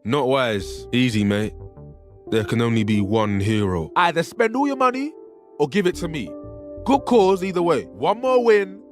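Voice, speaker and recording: A man's voice: on the high side, very animated, normal speed, faint music behind. An actor voicing a cocky young man with fire powers, with a boastful manner. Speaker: game character.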